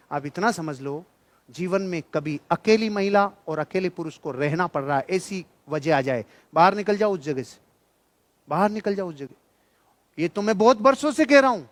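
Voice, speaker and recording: Guy doing motivational preaching, slightly high voice, emphatic and scolding, repeats lines, dry close sound. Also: fairly bright tone, no room sound. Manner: emphatic, admonishing, repeats phrases for effect. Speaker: motivational speaker. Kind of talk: motivational speech, preaching to an audience.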